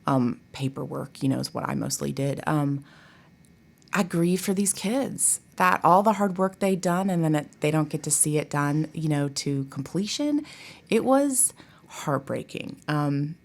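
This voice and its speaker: Casting: woman, low register, expressive and emphatic conversational delivery, college drama professor type on a podcast. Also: measured pace, vocal fry. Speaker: college theatre director and professor, podcast guest. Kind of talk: casual interview chat.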